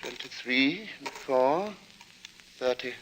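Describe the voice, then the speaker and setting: An actor playing a pious, weary and secretive gentleman lodger in a suspense radio drama, speaking formally with hesitant precision. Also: mid-range voice, animated, slow pace, noisy background. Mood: weary, courteous. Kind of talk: old-time suspense radio drama acting.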